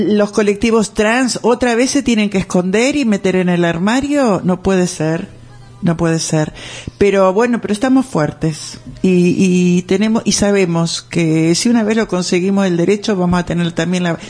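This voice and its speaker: A woman's voice: medium pitch, darkish tone. An older woman with a Rioplatense accent, a co-host musing earnestly on a radio chat, with many tag questions. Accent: Rioplatense Spanish.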